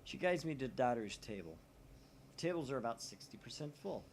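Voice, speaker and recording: A man's voice: mid-range voice, big pitch swings, fairly quick, slight background noise. A young man hosting a gaming video, reading visual novel narration aloud with wry asides. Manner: wry, reads narration with commentary. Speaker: let's-play host.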